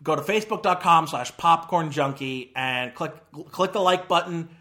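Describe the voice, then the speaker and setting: A man hosts a casual movie podcast. He rambles along, breaking off with fillers and restarting his sentences. His voice sits a little high. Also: moderate pace, sharp tone. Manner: rambling, with many fillers and self-corrections. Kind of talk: casual chat.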